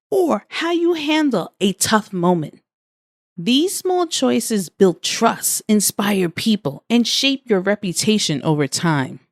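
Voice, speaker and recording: A woman hosting a scripted motivational podcast as a coach, speaking deliberately phrase by phrase straight to the listener. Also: medium pitch, dry recording, studio quality. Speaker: podcast host and life coach.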